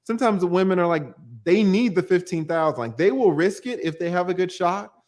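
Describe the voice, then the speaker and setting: A man's voice: sits high, animated, brisk, darkish tone. A man, a divorce attorney, explaining the law in a plain-spoken way on a call-in livestream and using everyday examples.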